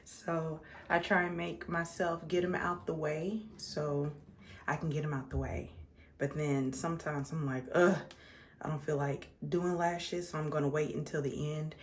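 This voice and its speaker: A woman's voice: low voice, fairly even intonation, measured pace, slight background noise. A 35-year-old American woman chatting casually and conversationally to her viewers as a makeup vlogger, repeating words for emphasis. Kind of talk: casual chat to viewers while doing makeup.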